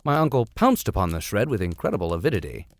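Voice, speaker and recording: Low-voiced man, theatrical and wry. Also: sing-song, quick pace, no room sound. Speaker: reader, host. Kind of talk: dramatic audiobook reading with wry asides.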